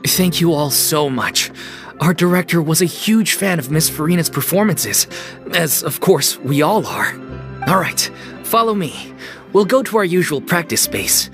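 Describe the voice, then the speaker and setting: Courteous troupe leader. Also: male voice, on the high side, a little slow. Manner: polite, expressive storyteller. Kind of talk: game cutscene voice acting. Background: background game music.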